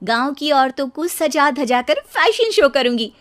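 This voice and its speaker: A woman voicing an eager, determined young city-bred fashion designer wife in an animated cartoon, in an expressive way. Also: high voice, a little slow.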